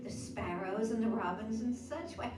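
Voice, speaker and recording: A woman's voice: fairly high pitch, normal speed, lightly acted. A woman acting a fretful, practical, skeptical housewife and mother in a radio drama. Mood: doubtful, fussy. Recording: roomy, distant, noisy amateur radio-play recording.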